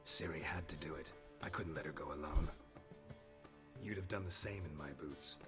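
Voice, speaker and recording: An actor playing a weary, middle-aged monster hunter in a video game, speaking in short, dry lines. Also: male voice, moderate pace. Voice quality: gravelly, low and terse. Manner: curt, dry, matter-of-fact. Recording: game audio with music underneath.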